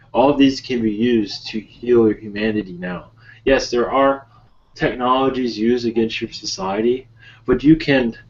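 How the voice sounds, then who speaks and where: An ancient otherworldly guide channeled by a man speaks slowly and solemnly in broken, measured phrases. The delivery is calm and halting, and the sound is dull and lo-fi, like a web call. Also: mid-range voice, lightly acted. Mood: calm, earnest. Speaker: channeler leading a webinar session. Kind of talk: slow improvised channeled speech. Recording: muffled lo-fi webinar call audio.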